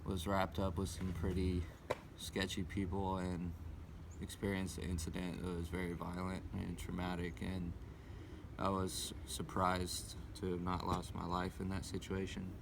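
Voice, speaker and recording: A man in his twenties speaking haltingly in a documentary interview, with uh fillers. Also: low-pitched, monotone, creaky. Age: 20s. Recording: loud background noise.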